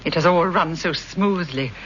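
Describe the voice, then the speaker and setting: An actress playing a young criminal's accomplice, dramatic and intense, in a vintage radio serial. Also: female voice, lowish voice, normal speed, a bit bright, natural voice. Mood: nervous, eager. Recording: dull, hissy vintage radio recording.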